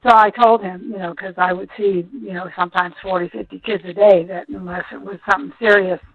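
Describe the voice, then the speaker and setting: A woman's voice: mid-range voice, fairly even intonation, fairly quick. An American woman, a school nurse, recounting what she remembers in a rambling, conversational phone interview, often qualifying her memory. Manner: rambling, conversational, hedges recollections. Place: on a telephone call. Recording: muffled, dull phone call audio.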